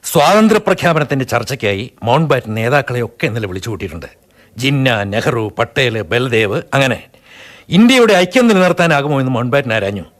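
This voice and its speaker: A man playing a sober, peace-minded older Sikh man in a Malayalam radio drama, speaking in a grave, measured way and also narrating. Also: medium pitch, sing-song, close to an everyday voice. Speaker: narrator and Chani Singh, a Sikh elder.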